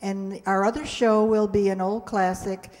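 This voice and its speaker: A woman's voice: mid-range voice, flat delivery, normal speed, a little mellow. A woman in her sixties giving semi-scripted thanks and announcements to an audience from a stage. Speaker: member of a radio players group giving closing announcements. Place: stage of a community hall. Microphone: stand microphone on stage, recorded by audience camera. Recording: echoey hall sound.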